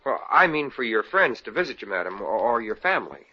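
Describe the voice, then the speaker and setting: A man's voice: medium pitch, sing-song, brisk. An actor playing an eager, bumbling young salesman in a 1950s radio comedy. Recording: dull lo-fi old radio broadcast.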